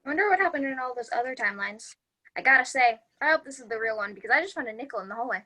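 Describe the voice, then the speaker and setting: A woman's voice: fairly high pitch, animated, racing, bright, forceful tone. A woman playing a clueless, analytical young man in a sitcom script read, speechifying in an earnest, matter-of-fact way. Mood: oblivious, cheerful.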